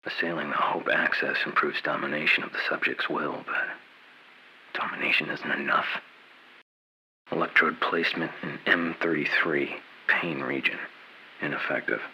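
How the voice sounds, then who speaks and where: A tormented, vengeful scientist villain for a horror game. The voice is very deep yet sharply forceful, measured and breathy, dripping with arrogance. A faint hiss sits behind it. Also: male voice, steady tone, full character voice, low-quality recording. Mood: cold, clinical. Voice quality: low, breathy, slipping toward whisper. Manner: deliberate, clinical, contemptuous. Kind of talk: video game villain acting.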